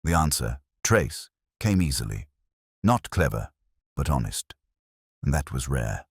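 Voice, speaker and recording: An extremely deep-voiced, melancholy detective played by a man tells his own case as a literary audio mystery. He is solemn and reflective, setting out short measured phrases with a lively rise and fall. The sound is close and dry. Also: husky, no echo, exaggerated voice. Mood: weary, wry. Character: melancholy, brooding male English detective inspector narrating his case. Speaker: first-person narrator.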